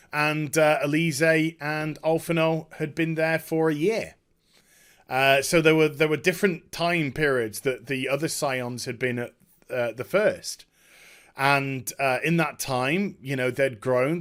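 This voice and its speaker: A man talking casually and off the cuff as a video host, emphatic and excitable, often halting and repeating his words. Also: mid-range voice. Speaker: video host giving a game story discussion. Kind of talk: unscripted casual rambling commentary.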